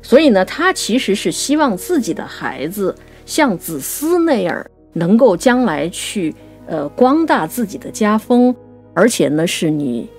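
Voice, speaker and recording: A woman co-hosting a conversational talk on classical poetry, explaining things and reciting verse slowly. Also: mid-range voice, big pitch swings, darkish tone, faint music behind. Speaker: writer and co-host.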